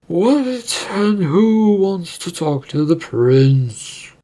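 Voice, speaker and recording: A performer voicing a large, stern, gruff orc captain loyal to his prince, in a fantasy story. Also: medium pitch, sing-song, warm tone, pitched above the performer's own voice, heavily acted. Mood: gruff, dismissive. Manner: slow, stern, confrontational.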